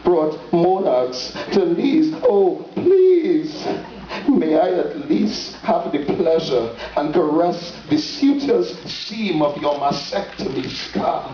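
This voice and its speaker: A man gives a dramatic spoken-word poetry reading, phrasing theatrically and solemnly with deliberate pauses. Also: a little high, very animated, warm tone. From Trinidad and Tobago. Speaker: poet. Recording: dull lo-fi, roomy echo, distant, faint noise.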